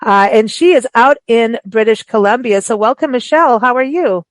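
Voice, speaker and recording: A woman hosting a radio interview, raised in Africa, who talks in a chatty, animated way with many restarts. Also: fairly high pitch, normal speed, dry recording. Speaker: radio show host. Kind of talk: casual interview chat.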